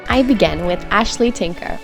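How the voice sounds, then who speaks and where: A woman hosting a podcast, giving an expressive, playful introduction and then interviewing a guest. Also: medium pitch, moderate pace, gravelly, soft background music. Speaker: podcast host.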